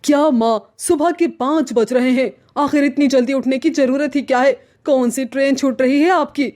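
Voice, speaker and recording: A woman's voice: sits high, steady tone, normal speed, darkish tone, lightly acted. A woman voicing an exasperated, protective young husband and son in a cartoon story, complaining and reasoning. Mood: irritated.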